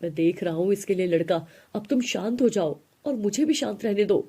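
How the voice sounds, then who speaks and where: Weak-willed father, read by a woman: middle-aged, remorseful, sorrowful, mellow and breathy. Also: mid-range voice, expressive, fairly quick. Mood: resigned. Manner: sorrowful, resigned.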